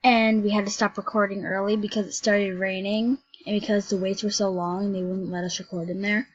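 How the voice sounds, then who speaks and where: A woman commenting on a game she plays, excitable and jumping between shrieks and hushed whispers, sick and shivering with cold. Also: medium pitch, brisk, highs cut off. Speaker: game video creator. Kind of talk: casual gameplay commentary.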